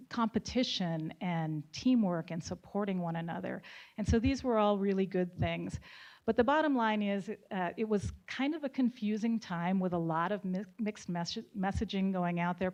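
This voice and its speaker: A woman in her sixties, speaking as a panelist in a measured, storytelling way. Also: on the low side, darkish tone. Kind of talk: panel discussion. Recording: muffled lo-fi event recording.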